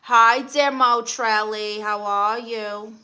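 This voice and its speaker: Bright-voiced Brooklyn woman chatting on a livestream. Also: on the high side, steady tone, takes it slowly. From Brooklyn, New York, USA. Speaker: livestream host. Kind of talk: casual livestream chat, greeting viewers and reading comments.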